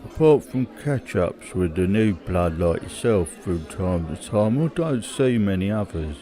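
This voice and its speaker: A low, mellow British voice from a male actor plays a middle-aged criminal old hand. He is world-weary and casually cold, chatting in plain colloquial speech, like naturalistic horror drama. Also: lively intonation, a little slow, some chatter behind. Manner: matter-of-fact, colloquial. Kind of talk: naturalistic audio drama dialogue.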